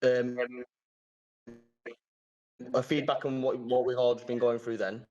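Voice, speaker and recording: A man calling into a live coaching session, hesitant and chatty with many pauses and fillers. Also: mid-range voice, little pitch movement, a little mellow. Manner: hesitant, many ums, laughs easily. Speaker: caller. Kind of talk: casual chat on a call. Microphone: remote call connection. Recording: dull, muffled call audio.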